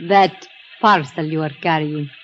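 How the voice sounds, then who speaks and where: Radio drama role: jaded, proud underworld woman, unhurried, scornful speech, lo-fi vintage sound. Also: low voice. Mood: cool, curious. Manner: declamatory, scornful. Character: sullen, defiant, world-weary woman of the underworld. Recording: dull, lo-fi old radio recording.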